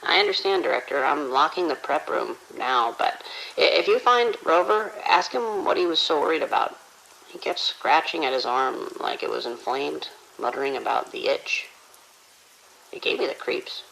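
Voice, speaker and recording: An actor playing a frightened, overworked morgue attendant in an audio drama, uneasy and insistent. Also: male voice, on the high side, lively intonation, normal speed, creaky, pushed and bright, heavily acted, poor audio. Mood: scared, insistent. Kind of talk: radio drama acting.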